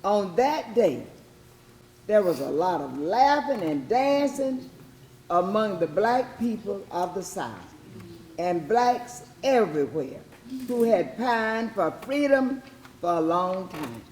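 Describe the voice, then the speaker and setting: A speaker giving a public history address with deliberate, oratorical phrasing. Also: mid-range voice, big pitch swings, darkish tone. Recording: echoey room with faint noise.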